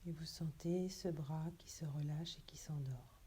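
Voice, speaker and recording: A woman yoga teacher leading a guided relaxation meditation in a soft, breathy, hushed and soothing, unhurried way. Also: deep voice.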